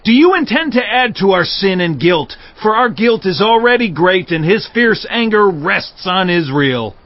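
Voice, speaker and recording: Casting: alarmed elder statesman of a tribe, high-pitched, urgent, indignant, declaiming scripture drama, close dry sound. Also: big pitch swings, moderate pace, dry recording, highs cut off. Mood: urgent indignation. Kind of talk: dramatized scripture audiobook acting.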